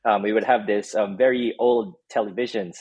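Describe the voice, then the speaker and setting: A man's voice: on the low side, fairly even intonation, normal speed, a little mellow, husky, dry recording, low-quality recording. A man from the Philippines, a startup co-founder telling his story as a guest in a casual interview, with many "um" fillers. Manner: many "um" fillers, laughs while telling stories.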